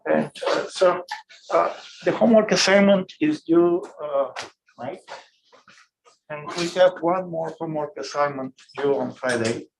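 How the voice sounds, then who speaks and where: A man from Bulgaria teaching a physics class, talking in a halting way with many fillers and restarts. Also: a little high, gravelly, slight background noise. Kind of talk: classroom lecture. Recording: echoey classroom, distant mic.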